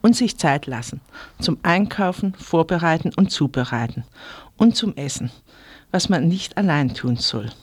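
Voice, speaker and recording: A woman's voice: low voice, expressive, normal speed, husky, no room sound. A woman giving a chatty, scripted report as a radio market reporter.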